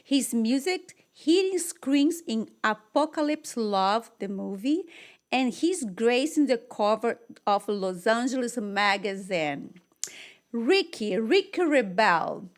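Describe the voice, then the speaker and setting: A woman's voice: a little high, sing-song, slow. Effusive Brazilian woman hosting a show. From Brazil, Rio de Janeiro. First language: Portuguese. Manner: effusive, exclamatory, non-native English phrasing. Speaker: talk show host. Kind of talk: enthusiastic interview chat.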